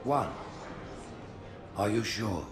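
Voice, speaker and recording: An actor playing a stern, imperious alien high prophet in a video game, speaking in a stately, pompous, deliberate way. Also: male voice, expressive. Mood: probing. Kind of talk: dramatic video game cutscene acting.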